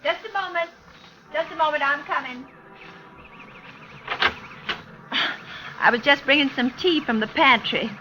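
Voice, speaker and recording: An actress playing a haunted, defensive older woman in old radio suspense, halting and plaintive as she speaks. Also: sits high, background noise, close to an everyday voice. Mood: flustered, polite. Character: haunted, defensive older woman long accused of parents' murder. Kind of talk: 1940s-50s radio suspense acting. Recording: muffled old radio recording.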